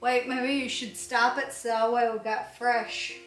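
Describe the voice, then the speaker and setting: Bright-voiced woman in an echoey room. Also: on the high side, flat delivery, a little slow, recorded from a distance. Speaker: co-host of a home unboxing vlog. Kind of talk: casual chat and banter. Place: indoors in a trailer home. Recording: roomy echo, off the mic, faint music.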